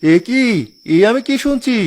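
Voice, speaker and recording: A man playing a put-upon village husband in a cartoon story, speaking in a plaintive, expressive manner. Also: very high-pitched, unhurried. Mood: surprised. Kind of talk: Bengali cartoon story dialogue.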